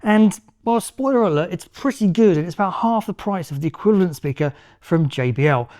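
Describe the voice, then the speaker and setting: Man, tech reviewer, slightly high voice, casual and upbeat, product walkthrough. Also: animated, fairly quick, a little mellow.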